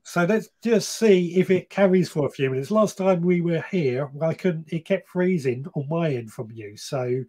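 A man's voice: fairly high pitch, moderate pace, few pauses, darkish tone. A man in his thirties hosting a gardening podcast live stream, chatting casually and reading out viewer comments, often stopping to correct himself. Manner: rambling, self-correcting, reads comments aloud. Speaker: podcast host. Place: small shed or room, indoors.

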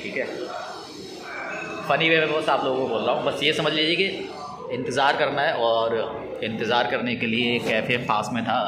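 A man in his thirties chatting casually to a handheld phone camera in a large indoor cafe, rambling with many fillers and restarts. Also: mid-range voice. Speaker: travel vlogger. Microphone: phone held at arm's length. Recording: roomy cafe with loud background noise.

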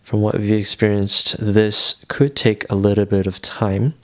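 A man with a fairly low voice presents a tech tutorial one step at a time. His delivery is casual and unhurried, and he explains things in a reassuring way. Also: fairly even intonation.

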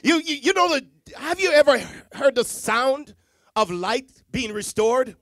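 High-voiced man preaching a dramatic sermon. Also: expressive, unhurried, no room sound, clean studio sound. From Jamaica. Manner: dramatic swings from hushed to loud, repetitive emphasis. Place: church stage with Christmas decor, indoors. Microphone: handheld microphone.

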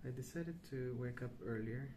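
A man speaking softly, at times near a whisper, in an unhurried, musing ASMR ramble while colouring. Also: medium pitch, noisy background. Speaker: host of a colouring ASMR channel. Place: indoors at a wooden table.

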